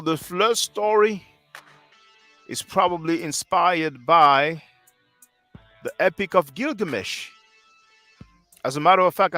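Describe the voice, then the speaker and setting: A man's voice: fairly high pitch, pushed and bright. A man in his thirties whose first language is French, hosting a livestream and lecturing earnestly while answering chat on a headset mic. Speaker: livestream host.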